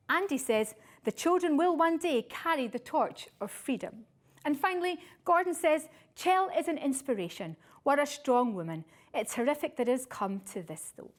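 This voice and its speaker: A woman presenter with a high-pitched voice that moves up and down a great deal. She reads scripted links and introductions as the host of a talk show. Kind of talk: scripted presenting to camera, reading introductions and messages.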